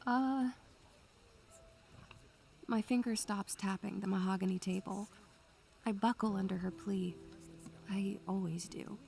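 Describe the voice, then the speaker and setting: A woman's voice: medium pitch, fairly even intonation, measured pace, vocal fry, pauses often, mellow, faint music behind. A woman playing a bookish, anxious young student who narrates her memories in a literary, atmospheric style. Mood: flustered. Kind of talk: atmospheric first-person scripted narration.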